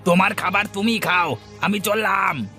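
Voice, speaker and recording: A man's voice: sits high, steady tone, normal speed, gravelly, bright, forceful tone, faint music behind, heavily acted. A man playing a resentful, complaining young younger son in a fairy-tale cartoon. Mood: indignant. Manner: sulky, complaining.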